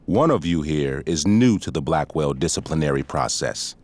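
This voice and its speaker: An actor playing a stern, pompous middle-aged school principal, speaking formally and with measured weight in a video game drama. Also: male voice, rumbling low, animated, darkish tone.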